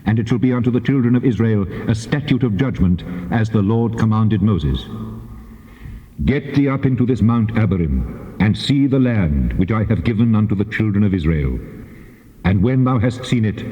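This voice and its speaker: Male actor as the Lord God, dark soft-edged tone, solemn, commanding, measured, dramatized scripture, huge reverberant space. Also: on the low side, animated, lightly acted. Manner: measured, authoritative, declaiming. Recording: dull tape, long cavernous reverb.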